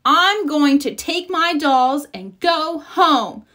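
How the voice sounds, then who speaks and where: A woman's voice: high-pitched, slow pace, pitched above the performer's own voice, heavily acted. A woman voicing a feisty six-year-old girl bear cub who speaks emphatically in a children's storybook. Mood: angry, sulky. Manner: excitable, protesting, emphatic. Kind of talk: children's storybook read-aloud.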